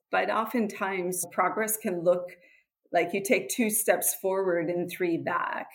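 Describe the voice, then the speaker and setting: A middle-aged woman with an American accent, answering interview questions in an earnest, explanatory way. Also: mid-range voice. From Switzerland and USA. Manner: earnest, explanatory, occasional laughs. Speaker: guest, nonprofit executive director.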